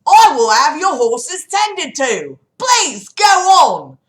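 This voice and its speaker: A woman voicing an eager young forest girl with a Cockney accent, calling out in a loud, drawn-out way. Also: sing-song, full character voice. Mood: eager, welcoming. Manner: loud, drawn-out, high-pitched calls. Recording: roomy, echoing indoor sound.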